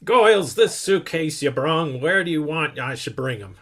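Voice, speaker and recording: A man voicing a gruff working-class cab driver in a radio comedy reading. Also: fairly high pitch, expressive, normal speed, fairly bright tone. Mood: gruff, brash. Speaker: amateur radio play actor.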